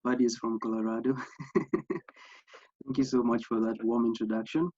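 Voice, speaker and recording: A man's voice: mid-range voice, little pitch movement, normal speed, darkish tone, dry recording. Kenyan man, young, earnest presenter, video call, dry, slightly muffled. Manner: earnest, with frequent fillers and restarts. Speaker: workshop presenter. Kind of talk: online masterclass presentation. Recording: slightly dull lo-fi sound.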